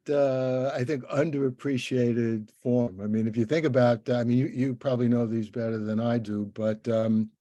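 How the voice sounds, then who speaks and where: An older man hosting a casual interview, talking in a halting, rambling way with many restarts. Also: medium pitch, mellow, no echo. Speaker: interviewer, podcast host.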